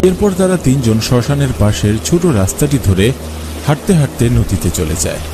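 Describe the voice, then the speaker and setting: A man's voice: medium pitch, animated, normal speed, heavy background noise. A man narrating a scripted scary story for a cartoon. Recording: music and rain behind. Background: music and rain sounds.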